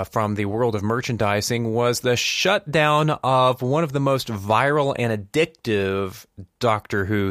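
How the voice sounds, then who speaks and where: A man's voice: medium pitch, a bit bright, no echo. Chatty man hosting a podcast, dry close sound. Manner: chatty, explanatory, poses questions to co-host. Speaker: podcast host. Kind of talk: conversational news commentary.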